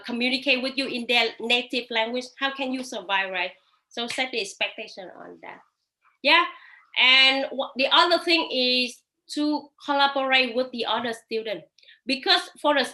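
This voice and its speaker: A woman who is not a native English speaker, speaking on a live webinar over a video call. She shares advice in halting English full of fillers and restarts. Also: on the high side, sharp tone, rough recording. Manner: hesitant non-native phrasing with frequent fillers and restarts. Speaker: webinar speaker sharing study-abroad experience.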